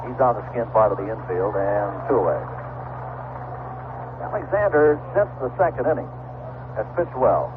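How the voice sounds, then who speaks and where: A man calling baseball play-by-play live on a noisy, tinny 1970s radio broadcast. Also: mid-range voice. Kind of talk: live radio sports commentary. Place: ballpark broadcast booth.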